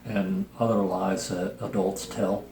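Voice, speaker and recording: A middle-aged man reads and chats about a children's book at a very leisurely pace, in a fairly low voice. His humor is dry and wry. The room echoes around him and some noise sits behind his voice. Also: little pitch movement, measured pace, warm tone. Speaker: host reading and reviewing a children's picture book. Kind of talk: casual storybook reading and review chat. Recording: roomy echo, noticeable background hiss.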